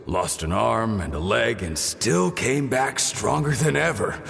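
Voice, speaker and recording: An actor playing an admiring young disciple in a video game, telling tales with excited emphasis. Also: male voice, fairly low pitch, measured pace, gravelly, slight background noise, full character voice. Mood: matter-of-fact admiration. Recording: long reverb, music behind.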